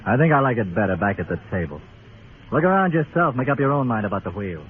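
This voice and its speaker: An American actor playing a curt, guarded and loyal roulette croupier in an old radio western. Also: male voice, lowish voice, very animated, fast. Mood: guarded, indignant. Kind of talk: old radio drama acting. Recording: tinny old radio recording.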